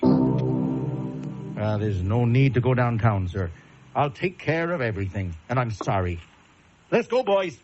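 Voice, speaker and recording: An actor playing a plain-spoken, courteous police officer with a folksy, hesitant manner in a suspense radio drama. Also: male voice, lowish voice, very animated, takes it slowly, long pauses. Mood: solemn, apologetic. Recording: muffled radio recording, music behind.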